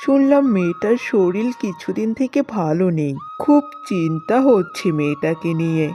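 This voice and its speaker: A woman's voice: fairly low pitch, animated, normal speed, mellow, lightly acted. A woman voicing an earnest, worried middle-aged village mother in a cartoon story. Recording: music bed under the voice.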